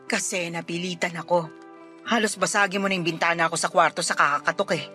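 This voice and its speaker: A woman's voice: lowish voice, steady tone, fast talker, pushed and bright. A woman playing a worn-out, despairing young mother in a Filipino radio drama, with a Filipino accent and a sullen, pleading manner. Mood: sullen, curt. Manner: curt and sullen, breaking into tearful pleading. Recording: music behind the voice.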